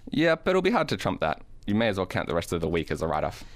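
A man's voice: low-pitched, wildly swooping pitch, fast talker. A man acting a mild-mannered, weary school teacher in radio play dialogue, earnest and a little hesitant.

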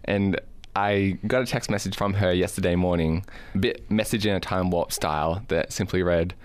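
A man's voice: low voice, fairly even intonation, normal speed, clean tone, no room sound. A man with an Australian accent co-hosts a podcast in casual banter, with dry, tongue-in-cheek humour. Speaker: podcast co-host.

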